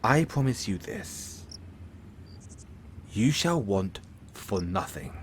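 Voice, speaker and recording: A man's voice: fairly low pitch, big pitch swings, slow, slight background noise. A man playing a flamboyant, smug, vain villain in an audio roleplay, speaking in a theatrical, pompous and grandiloquent way to the listener. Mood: grand, smug wooing.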